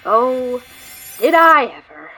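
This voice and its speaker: A woman's voice: sits high, very animated, slow, bright, forceful tone, breathy edge, heavily acted. A woman playing a cocky, mischievous adventurer in an audio drama, taunting and theatrical. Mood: excited, smug. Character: cocky, mischievous female card-playing adventurer, sharp-tongued.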